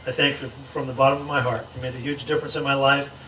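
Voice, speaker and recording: A man reading a comedic roast speech aloud to a gathering, teasing with deliberate pauses. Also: mid-range voice, little pitch movement, bright, forceful tone. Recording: echoey room, distant mic, noisy background.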